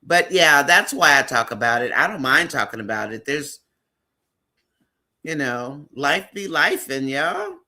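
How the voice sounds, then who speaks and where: A man's voice: a little high, very animated, measured pace, pushed and bright. A man hosting a live stream, chatting casually and rambling with frequent fillers.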